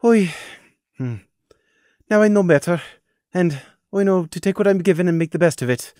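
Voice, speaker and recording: A man voicing a bitter, hurt young mare who snaps and shouts in a high, shrill voice. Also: expressive, normal speed, no echo, clean studio sound. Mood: controlled.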